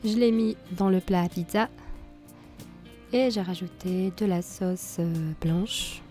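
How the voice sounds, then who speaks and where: A woman with a slightly hoarse voice from a cold talks through a recipe in a calm, mellow tone. She explains each step plainly, with the odd small filler. Soft music plays behind her. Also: fairly low pitch, takes it slowly. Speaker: cooking channel host. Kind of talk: cooking tutorial voice-over.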